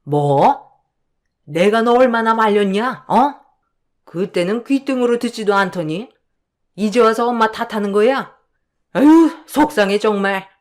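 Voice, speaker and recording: A woman's voice: a little high, expressive, measured pace. Woman voicing a harsh, scolding older mother-in-law. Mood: aggrieved. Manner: sharp, scolding and dismissive. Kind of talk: dramatized storytelling.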